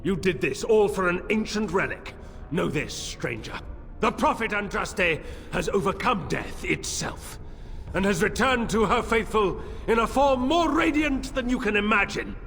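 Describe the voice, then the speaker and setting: Fanatical temple cult boss in a fantasy game, bright declaiming voice, echoey hall. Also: male voice, high voice, expressive, measured pace, full character voice. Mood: proud, menacing. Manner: zealous, declamatory, commanding. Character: fanatical male cult leader defending his temple. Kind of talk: dramatic fantasy game acting. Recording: echoing hall, background noise.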